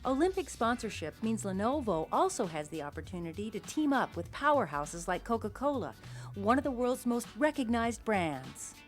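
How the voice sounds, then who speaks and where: A woman reading a scripted news voice-over with a crisp, lightly wry delivery. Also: a little high, big pitch swings, normal speed. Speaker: news reporter. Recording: voice-over with faint music behind.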